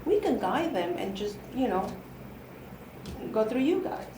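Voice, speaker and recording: A woman's voice: fairly high pitch, sing-song, unhurried. A middle-aged woman from Ecuador, a native Spanish speaker with an Ecuadorian accent, chatting as an interview guest. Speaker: guest, real estate broker. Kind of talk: casual conversational interview. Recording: echoey room, clear background noise.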